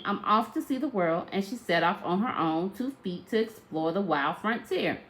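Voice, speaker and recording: Female storyteller for a children's read-aloud, medium pitch, expressive and emphatic teacher-style narration. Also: rough recording.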